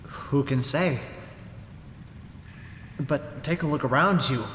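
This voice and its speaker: An actor playing an earnest, excitable investigator with an urgent manner in a radio drama. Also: male voice, mid-range voice, takes it slowly. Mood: earnest wonder. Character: earnest, excitable male investigator exploring with a recorder. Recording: echoey hall with faint noise.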